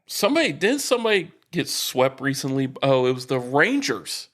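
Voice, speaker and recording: A man's voice: medium pitch, sing-song, moderate pace, a bit bright. A man co-hosting a sports podcast, chatting casually with a needling, sarcastic, teasing manner.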